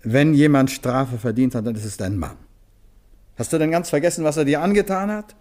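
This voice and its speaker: A man acting an earnest Englishman caught in a troubled love affair in a German radio play, speaking in a measured, deliberate way. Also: fairly high pitch, a little mellow, close to an everyday voice. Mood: reproachful, pained.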